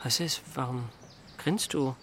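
An actor playing Thomas, a sober, slightly awkward IT consultant, in a literary audio drama with a hesitant manner. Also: male voice, medium pitch, a little slow, fairly bright tone, slight background noise, close to an everyday voice. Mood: puzzled, amused.

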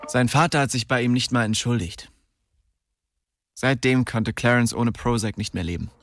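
A man's voice: mid-range voice, normal speed, no room sound, soft background music, close to an everyday voice. An actor playing a young streetwise man who recounts his small-town youth colloquially in a radio drama. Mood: sober recollection. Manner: colloquial, storytelling. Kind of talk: radio drama first-person narration.

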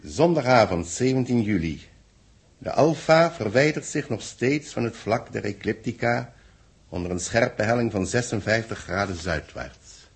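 A very husky, low male voice playing a grave official in vintage radio drama. He speaks deliberately and formally, with a gravelly creak, like someone weighing a troubling report. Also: expressive, full character voice. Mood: formal dictation. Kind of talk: 1960s Dutch radio play acting. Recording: muffled-edged vintage 1960s radio recording.

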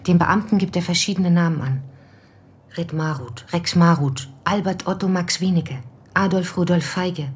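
A determined woman researcher played by an actress in a radio drama. Her voice sits fairly low and she talks with probing, methodical precision. Some ambient noise sits behind her. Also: darkish tone, close to an everyday voice. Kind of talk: German radio drama acting.

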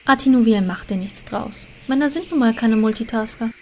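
A woman's voice: a little high, brisk, darkish tone, slight background noise, close to an everyday voice. A woman playing a teasing, doting blood elf mage in a comedy audio drama.

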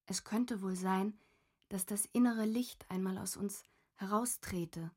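A thoughtful young woman shares her private diary in a literary radio play. Her medium-pitched voice is earnest and contemplative, with measured phrasing. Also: fairly even intonation, dark tone, slightly rough. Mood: pensive.

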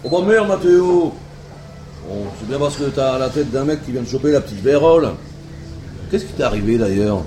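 A man's voice: medium pitch, animated, moderate pace, warm tone, slight background noise, lightly acted. Blunt, bantering barkeeper played by a man, echoey. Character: gruffly familiar, joking male barkeeper. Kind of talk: French audio fiction acting.

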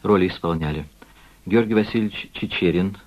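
A man's voice: low-pitched, brisk. A man reading scripted credits as a formal radio announcer. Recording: muffled archival radio recording.

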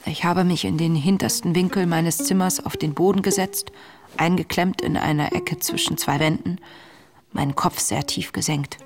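An actress playing an earnest, introspective young woman reading her wartime diary in a radio play, measured and intimate. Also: on the low side, fairly even intonation, close to an everyday voice. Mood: unhappy. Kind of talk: radio drama diary monologue. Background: music.

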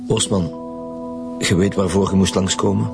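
A man's voice: deep voice, flat delivery, normal speed, vocal fry, over music. A man playing a stern, probing Flemish police detective in a naturalistic radio drama, speaking formally. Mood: probing, measured.

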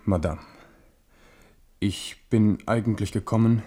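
A proud French warrior king in a radio drama. His voice is low, and he speaks in a declamatory, commanding way with an ironic edge and the weight of his cares. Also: steady tone, slow, mellow. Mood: grave, insistent. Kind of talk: German radio play acting.

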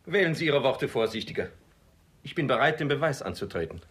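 A man's voice: medium pitch, animated, a little fast, a bit bright, breathy edge. An actor playing a bold, proud young nobleman in love, in a radio comedy, declaiming formally and defiantly. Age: young adult. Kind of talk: classic stage comedy radio acting.

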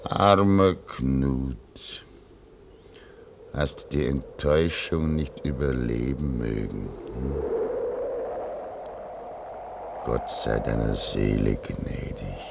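An older man acting in a vintage German radio play as a pious, weary old father stranded at an Arctic station, speaking in a solemn, deliberate, prayerful way. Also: very deep voice, vocal fry, full character voice. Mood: grieving, solemn. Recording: noisy vintage radio drama recording.

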